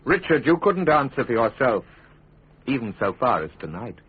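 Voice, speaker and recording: A shrewd, principled English statesman of Tudor times in a radio play. His voice is very husky and swings expressively through measured, ironic speeches, on a muffled lo-fi recording. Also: mid-range voice, very animated. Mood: firm refusal. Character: principled, shrewd middle-aged male Tudor lawyer and father. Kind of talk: British radio drama acting.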